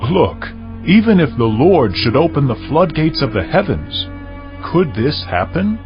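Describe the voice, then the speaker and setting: Bible drama court royal played by a man: earnest, medium pitch, slightly dull sound over faint music. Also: big pitch swings, unhurried. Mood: doubting. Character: earnest royal men of ancient Israel's court. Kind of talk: dramatized scripture acting. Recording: dull audio with music underneath.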